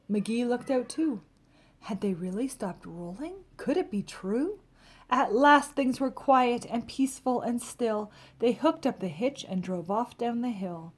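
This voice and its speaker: Higher-voiced woman reading a children's storybook. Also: breathy edge. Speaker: storybook reader. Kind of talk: expressive read-aloud of a rhyming children's picture book.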